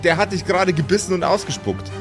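A man's voice: sits high, fast talker. A man acting as game master, narrating an improvised tabletop role-play. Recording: music behind the voice. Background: background music.

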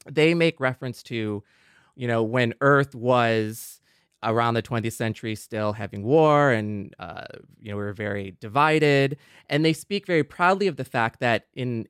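A man's voice: mid-range voice, fairly bright tone, clean tone, dry recording. Middle-aged man, dry close sound, casual. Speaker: podcast panelist. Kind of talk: casual reflective discussion.

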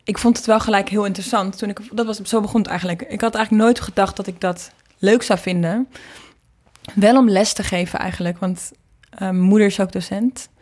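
A woman's voice: mid-range voice, steady tone, a little fast, a little mellow. Young woman, Netherlands Dutch accent, mid-range voice. Informal interview guest, chatty, breaks off and restarts thoughts. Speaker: interview guest, singer and singing teacher. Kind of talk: casual conversational interview.

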